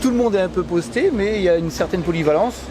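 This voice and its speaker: Middle-aged workshop guy being interviewed, kinda high voice, hesitant, repeats words, noisy behind him. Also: expressive, fast. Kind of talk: informal interview answers.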